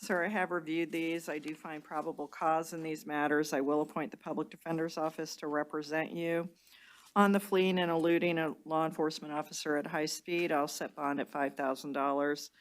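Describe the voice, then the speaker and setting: Brisk woman judge with a low voice. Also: level tone, low-quality recording. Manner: brisk and procedural. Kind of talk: courtroom bond hearing.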